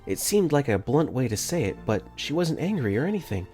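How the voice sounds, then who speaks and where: A man's voice: mid-range voice, big pitch swings, fairly quick, a little mellow, soft background music. A man narrating a visual novel fan dub in first person, earnest and easily flustered.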